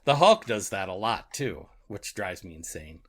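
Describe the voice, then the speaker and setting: A man co-hosting a podcast in casual chat, rambling and halting as he repeats words, often given to chuckling. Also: low-pitched, big pitch swings, a bit bright. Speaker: podcast co-host.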